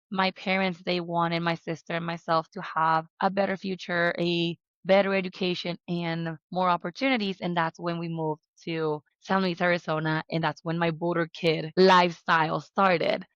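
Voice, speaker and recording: A young woman from Mexico speaks in a fairly low voice, telling her story earnestly as a podcast guest. The sound is dry and a little dull, with no room to it. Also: fairly even intonation, normal speed, few pauses, fairly bright tone, no room sound. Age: young adult. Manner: earnest storytelling with rhetorical questions. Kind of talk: interview conversation.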